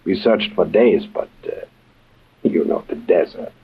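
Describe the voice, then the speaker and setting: On a dull vintage radio recording, a menacing crime lord. His low, husky voice is formal and oily-polite, with a threat under every courtesy. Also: a little slow, heavily acted. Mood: veiled threat. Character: suave menacing wealthy Middle Eastern crime boss. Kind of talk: old-time radio drama acting.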